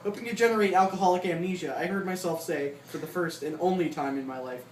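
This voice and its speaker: An informal reader works through a comic memoir aloud, mid-pitched and given to asides. The voice sits at a distance from the mic in an echoey room, with clear noise in the background. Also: fast. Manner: informal, breaks off with asides and chuckles.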